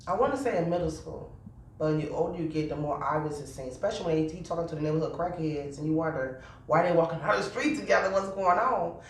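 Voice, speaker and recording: A woman's voice: low voice, fast, slight background noise. A woman giving a reflective, plain-spoken personal testimony. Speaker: interviewee. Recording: very echoey room, mic at a distance.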